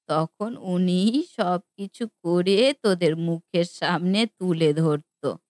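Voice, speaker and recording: A woman's voice: on the low side, no room sound, studio quality. A woman voicing a plain-spoken, admonishing older mother and mother-in-law in a Bengali family cartoon.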